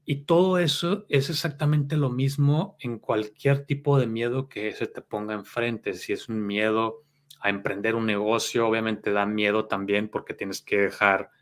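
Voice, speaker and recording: A man's voice: fairly low pitch, steady tone, measured pace, fairly bright tone. A man with a Mexican accent giving a motivational self-help talk as a life coach, earnest and explanatory.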